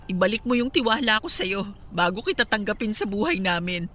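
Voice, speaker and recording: Wounded filipino wife in a radio drama, firm and guarded, bright sharp voice, music behind. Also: female voice, mid-range voice, animated, brisk. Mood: guarded, wistful. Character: hurt pregnant wife, firm and guarded with her cheating husband.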